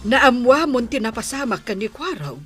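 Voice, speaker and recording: A woman's voice: on the high side, very animated, normal speed, soft background music. A woman acting as a concerned, nagging wife and mother in a radio comedy drama, with an Ilocano accent and a scolding, exclamatory delivery.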